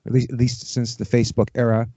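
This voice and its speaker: Male, middle-aged, podcast guest. Mellow, dark tone, casual rambling delivery, dull-sounding recording. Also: medium pitch, completely flat delivery, fast. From Canada, Toronto area. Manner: informal, peppers talk with "you know". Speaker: podcast guest, ritual drummer. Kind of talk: casual, rambling conversational interview. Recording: muffled, roomy, echoey sound.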